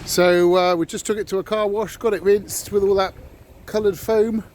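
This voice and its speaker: A man's voice: sits high, fairly even intonation, normal speed, a little mellow. A man chatting casually as he vlogs about his car, with some background noise from cars and surroundings. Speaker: car vlogger. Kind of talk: casual vlog chat.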